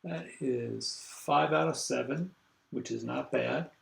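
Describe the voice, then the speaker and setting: An older man lecturing a university class over a video call, congested, halting and full of fillers as he thinks aloud. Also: medium pitch, gravelly, roomy sound, a little hiss or hum.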